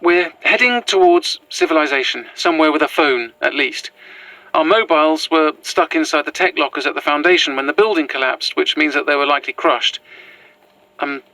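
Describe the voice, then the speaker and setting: Bright, anxious British woman, acted for audio drama. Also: deep voice, normal speed, no echo, low-quality recording. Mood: hesitant, earnest. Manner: hesitant, apologetic, earnest. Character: anxious, earnest young woman, former history teacher on the run. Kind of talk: found-footage horror audio drama.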